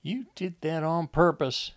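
A man's voice: a little high, unhurried, pitched above the performer's own voice, close to an everyday voice. A man voicing a wounded, frightened young country woman, pleading and insistent in an audiobook story. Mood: accusing. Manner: folksy, insistent, urgent.

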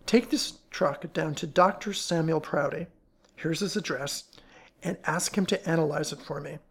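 A man's voice: fairly high pitch, normal speed, creaky, slightly rough. A man playing a composed, clever amateur detective in an audiobook, speaking in an assured, incisive manner. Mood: grim, brisk.

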